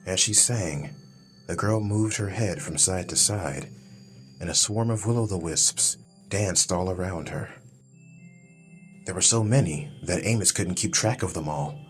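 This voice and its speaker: A man's voice: deep voice, a little slow, soft background music. A man reading scripted fantasy audiobook narration as the storyteller.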